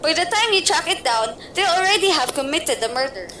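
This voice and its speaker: Radio-play role: a fretful, demanding bedridden lady, read by a woman, high and shrill. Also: expressive, brisk, sharp tone, slight background noise. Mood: frantic insistence. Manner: shrill, impatient, fretful pleading. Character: nervous bedridden wealthy woman, demanding and frantic. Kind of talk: amateur radio play acting.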